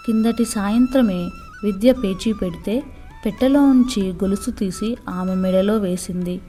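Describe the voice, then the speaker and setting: A woman's voice: mid-range voice, mellow. A woman reading a scripted moral story for children as a storyteller.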